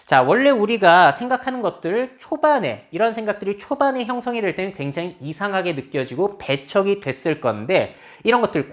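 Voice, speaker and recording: A woman lecturing on social change, explaining step by step and asking her audience rhetorical questions. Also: fairly low pitch. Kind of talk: lecture on future trends.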